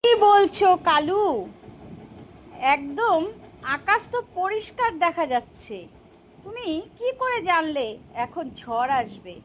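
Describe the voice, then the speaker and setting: A woman voicing a hungry, gullible tiger in an animated children's story, bossy but easily scared, with drawn-out delivery. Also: very high-pitched, a little hiss or hum, exaggerated voice, poor audio. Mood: suspicious.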